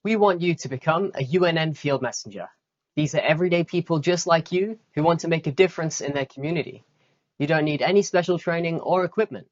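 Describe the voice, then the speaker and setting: A news presenter reading scripted narration in an even manner. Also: mid-range voice, fast. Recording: dull lo-fi sound.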